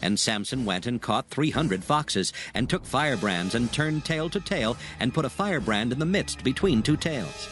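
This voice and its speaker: A man narrates scripture at medium pitch with lively inflection. His storytelling is solemn and measured, with music clearly playing behind him.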